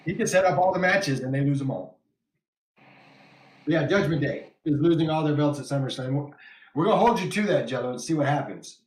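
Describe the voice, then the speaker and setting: A man's voice: fairly high pitch, fairly quick. West Texas man with a roomy echo. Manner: laughs often, reads viewer comments aloud. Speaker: podcast host. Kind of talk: casual wrestling podcast chat.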